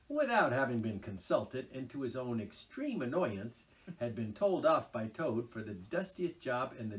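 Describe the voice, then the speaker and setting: A man reading a classic children's tale aloud, his voice rising and falling with lively expression. He sounds like a seasoned storyteller. The voice sits a little back from the microphone. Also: medium pitch, far from the mic, low-quality recording. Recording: voice a little off-mic.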